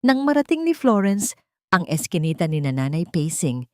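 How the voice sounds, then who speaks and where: A woman's voice: fairly low pitch, big pitch swings, normal speed, a little mellow, no room sound, studio quality. A woman narrator reading a dramatic scripted story.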